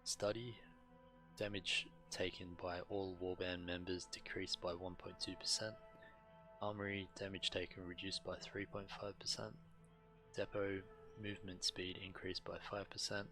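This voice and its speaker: A man giving casual, matter-of-fact and slightly halting gameplay commentary as a streamer, reading on-screen text aloud. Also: low voice, level tone, over music. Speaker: game streamer.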